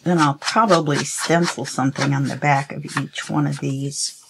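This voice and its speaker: Flat-voiced woman chatting while crafting. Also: deep voice, normal speed, gravelly. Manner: chatty, talks to herself while working. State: a little sniffly. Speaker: craft tutorial host. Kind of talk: casual crafting tutorial narration. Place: craft table at home. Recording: noisy home recording.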